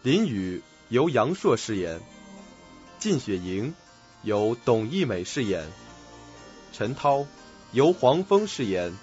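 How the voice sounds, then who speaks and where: A male narrator of a suspense radio drama speaks at an unhurried pace, with animated intonation. Faint music plays behind him. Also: mid-range voice. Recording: slightly dull audio with music. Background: background music.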